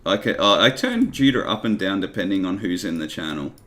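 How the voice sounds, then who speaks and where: A man with a gravelly voice, chatting and teasing a friend drily during a gaming stream. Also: sits low, animated, brisk, fairly bright tone. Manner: dry, teasing banter. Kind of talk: casual gaming chat.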